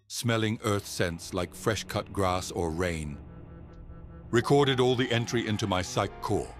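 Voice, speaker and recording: An actor playing a measured, formal psychiatrist on a space expedition in an audio drama. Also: male voice, lowish voice, lightly acted. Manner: calm, formal, clinical. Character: measured, clinical male psychiatrist on a Mars expedition. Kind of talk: audio drama log and session dialogue.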